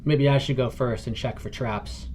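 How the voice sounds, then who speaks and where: A man voicing a gruff, blunt, confident female dwarf adventurer in a fantasy story. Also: medium pitch, fairly even intonation, normal speed, darkish tone, slight background noise, lightly acted.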